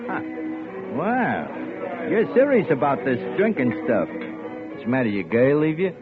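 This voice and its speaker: A sly, wry bartender. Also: male voice, mid-range voice, huge pitch swings, a little slow. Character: wry, curious male bartender. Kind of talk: 1940s radio acting. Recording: tinny old radio broadcast with music.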